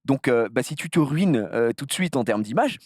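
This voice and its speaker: A man from the south of France chatting casually as an interview guest, telling anecdotes in an animated, slangy way with some crude language. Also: medium pitch, moderate pace, no echo, clean studio sound. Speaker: streamer being interviewed.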